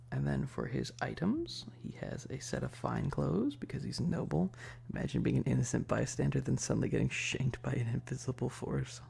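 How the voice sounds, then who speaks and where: Gravelly, creaky-voiced man chatting softly. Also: mid-range voice, slight background noise. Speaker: streamer. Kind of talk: casual ASMR livestream chat.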